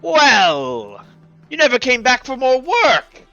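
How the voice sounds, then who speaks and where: A man's voice: very high-pitched, wildly swooping pitch, slow, bright, forceful tone, lo-fi sound, faint music behind, voice pushed up, exaggerated voice. A man voicing a menacing, condescending townsman with a mock-friendly manner in a tabletop game.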